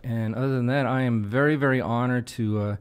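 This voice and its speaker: A man's voice: medium pitch, steady tone, a little fast, clean tone. A man chatting casually as a guest folk musician at a live show in a coffee parlor. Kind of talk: casual chat.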